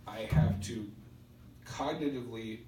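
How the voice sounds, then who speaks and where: A middle-aged man with a stutter gives a personal testimony at a recovery meeting, speaking in halting, spaced-out phrases with pauses. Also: medium pitch, mellow. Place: indoor meeting room. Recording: roomy, distant mic with background hiss.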